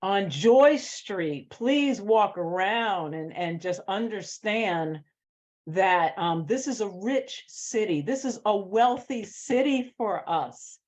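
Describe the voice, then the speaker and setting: A woman's voice: mid-range voice, animated, slow pace, a little mellow. An American woman, a screenwriter, speaking emphatically and with passion in a question-and-answer session after a script reading. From Boston, United States. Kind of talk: post-reading Q&A talk. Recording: dull lo-fi sound.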